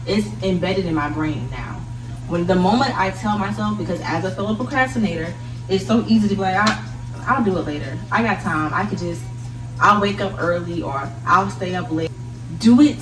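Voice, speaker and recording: Young woman vlogger doing emphatic girl talk pep chat, echoey room, mic a bit far off, music in back. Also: mid-range voice. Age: young adult. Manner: emphatic, intimate pep-talk delivery, addressing listener as girl and baby. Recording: roomy echo, distant mic, music behind. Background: background music.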